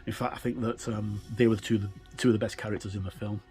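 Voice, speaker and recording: A middle-aged man giving an informal explanatory walkthrough as a gaming reviewer, halting as he thinks aloud. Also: on the low side, little pitch movement, a little mellow, faint music behind.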